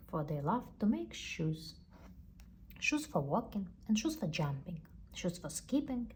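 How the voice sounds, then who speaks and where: Animated woman storyteller with non-native English accent. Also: mid-range voice. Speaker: storybook reader. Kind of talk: expressive children's storybook narration. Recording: faint background noise.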